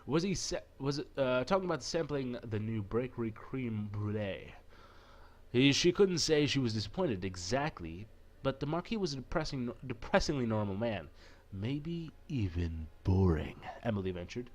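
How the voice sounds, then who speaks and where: A man's voice: medium pitch, lively intonation, moderate pace. A man hosting a gaming video, reading a visual novel aloud in a casual way and dropping in playful, irreverent asides.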